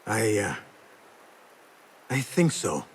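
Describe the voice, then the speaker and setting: A man's voice: fairly low pitch, very animated, extremely slow, slight background noise, full character voice. A male actor playing an impulsive, earnest young thief in a dramatic video game scene. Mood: calm, wry. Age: young adult.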